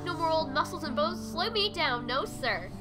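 A woman's voice: high voice, lively intonation, normal speed, over loud music. A woman voicing a young female pony spirit in a fan fiction audio drama, full of glad wonder. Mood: elated. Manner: wondering, gleeful. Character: joyful young mare spirit, freshly freed from old age.

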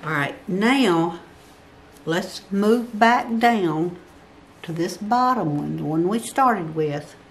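An older woman talks through a drawing lesson at her work table, counting off squares one by one. Her voice sits a little low and moves at an unhurried, very slow pace. A faint hiss lingers under the recording. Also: expressive. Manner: slow, patient counting aloud while drawing. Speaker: craft instructor. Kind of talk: step-by-step drawing tutorial.

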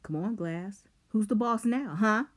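A woman voicing a furious teenage boy who bullies with a bat, shouting threats. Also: mid-range voice, measured pace, voice pushed up, close to an everyday voice. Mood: enraged.

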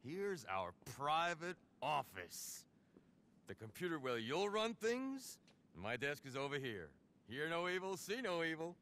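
Flamboyant, wildly swooping nightclub manager. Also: male voice, a little high, very animated, unhurried, fairly bright tone, exaggerated voice. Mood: slick, brisk. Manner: theatrical, chatty, gushing. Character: flamboyant, excitable male nightclub manager, slick and shady. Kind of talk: video game cutscene acting.

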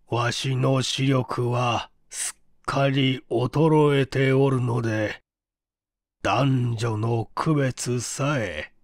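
A man voicing a frail, stubborn, imperious old invalid in an audiobook, speaking slowly and haltingly with a flat, toneless delivery. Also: medium pitch, no echo, clean studio sound. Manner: slow, halting, weighty speech. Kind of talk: dramatic audiobook character reading.

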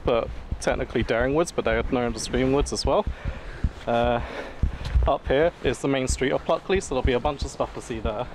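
A man's voice: medium pitch, brisk. A man chatting casually as a vlog co-host while out walking. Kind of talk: casual chat. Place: outdoors on village roads. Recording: noisy outdoor street with traffic.

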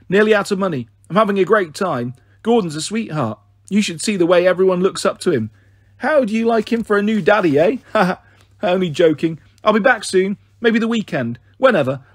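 A man's voice: high voice, breathy edge, dry recording, studio quality, pitched above the performer's own voice. A man reading a storybook, voicing a breezy, careless working-class mum with a British accent. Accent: British English. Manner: breezy, chirpy, airy and careless. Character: chatty cheerful working-class mum on a payphone.

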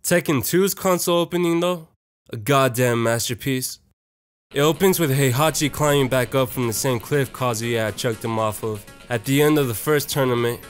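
A man's voice: medium pitch, normal speed. A young man narrating a casual, opinionated video essay in a slangy, profane and irreverent manner. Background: music.

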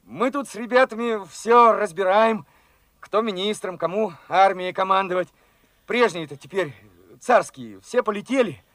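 An actor playing an eager, naive twenty-year-old working lad in a radio drama, earnest, plain-spoken and full of questions. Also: male voice, high voice, moderate pace, fairly bright tone, no room sound. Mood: eager, hopeful. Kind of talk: Soviet radio drama acting.

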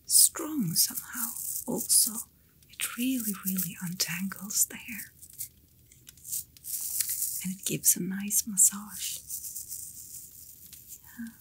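A woman voicing a kind, attentive fantasy innkeeper in an ASMR roleplay, speaking in a soft, soothing whisper. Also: mid-range voice, slow, pauses often, rough recording. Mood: gentle, soothing.

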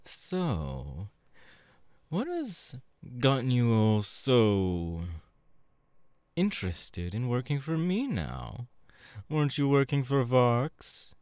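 A man voicing a sleazy, irritable demon film director in an ASMR roleplay, domineering and coaxing with drawn-out delivery. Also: mid-range voice, very animated, darkish tone, clean tone, dry recording, clean studio sound. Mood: smooth-talking, wistful.

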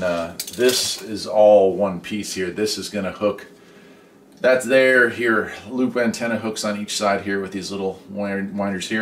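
A middle-aged American man talks casually through a hands-on demonstration in a lowish, folksy voice. He sounds some distance from the mic, in a very echoey room. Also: noisy background. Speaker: host. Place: indoor home workshop and garage.

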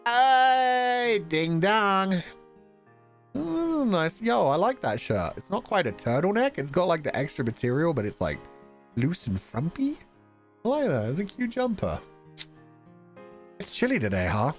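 Animated male game streamer over music. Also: high voice, a little slow. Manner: animated, stammering for effect, jokey. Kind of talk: playful let's play, reading game dialogue aloud with ad-libs. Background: visual novel game music.

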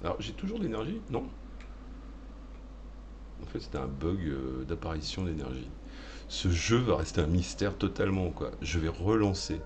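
Deep-voiced guy rambling and joking at himself over gameplay, game noise behind. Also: sing-song, normal speed, pauses often. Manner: self-mocking, rambling, playful asides. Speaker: gaming video host. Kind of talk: joking let's play commentary.

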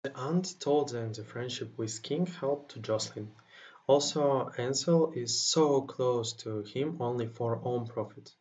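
A man's voice: medium pitch, unhurried, darkish tone, slight background noise, rough recording. A young man reading a scripted book summary as a student presenter. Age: young adult.

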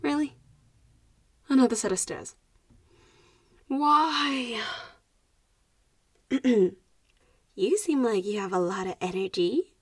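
A woman playing a teasing, haughty yet protective young vampire schoolgirl in a roleplay audio drama, speaking with deliberate phrasing and sighs. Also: fairly high pitch, expressive, husky, dry recording, clean studio sound, exaggerated voice. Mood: tired, complaining.

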